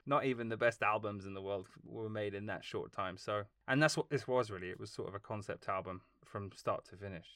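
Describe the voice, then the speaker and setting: A man talking as a film composer guest in a casual interview, telling long, lively stories and laughing along the way. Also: lowish voice, brisk, few pauses, no echo, studio quality. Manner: animated, rambling storytelling with laughs.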